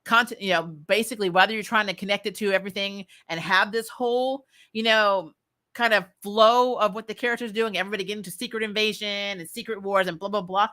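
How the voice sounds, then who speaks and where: A woman's voice: medium pitch, fairly even intonation, quick pace, bright, forceful tone. A woman chatting casually as a livestream host, giving candid, informal fan opinions and often breaking into amusement. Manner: candid, informal, mild swearing; laughs often while talking.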